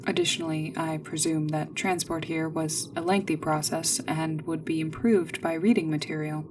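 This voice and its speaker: A woman playing a polite android guide in a sci-fi ASMR roleplay, speaking in a formal, precise, measured way. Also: low-pitched, recorded from a distance, background noise. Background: music.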